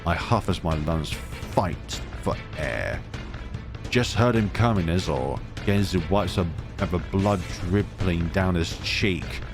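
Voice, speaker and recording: A man reading a visual novel aloud as dramatic narration, expressive and reacting as he goes. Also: sits low. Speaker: let's player reading a game aloud. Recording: music behind the voice. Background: game music.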